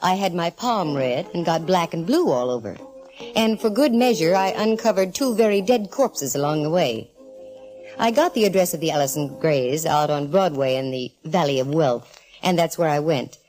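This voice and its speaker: A woman's voice: low voice, expressive, moderate pace, a little mellow, poor audio. An actress playing a breezy, sardonic, confident female private eye with an American accent, in 1940s radio detective style. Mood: wry, breezy. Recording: old 1940s radio recording, faint music.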